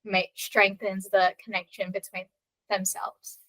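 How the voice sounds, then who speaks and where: A woman's voice: medium pitch, flat delivery, unhurried, pushed and bright, dry recording, low-quality recording. A woman from Australia, a podcast guest talking in an earnest, reflective way with many fillers. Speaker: podcast guest, content creator. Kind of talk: casual podcast chat.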